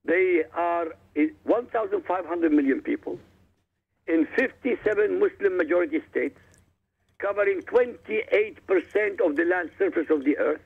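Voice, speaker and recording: Man, Arabic first language, emphatic lecturing tone, husky voice, phone-line sound. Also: high-pitched, measured pace. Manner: emphatic, combative, addresses listeners directly. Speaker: guest author. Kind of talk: radio interview, lecturing.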